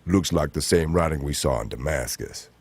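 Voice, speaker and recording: A man voicing a calm, big man with a very deep bass voice in an audio drama, over a noisy internet call. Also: moderate pace, husky, exaggerated voice. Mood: grave, calm. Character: deep-voiced big man calmly observing ancient writing.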